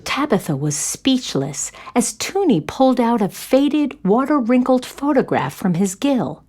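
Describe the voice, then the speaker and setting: A woman narrating a scripted children's story in an expressive storytelling manner. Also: fairly high pitch, unhurried.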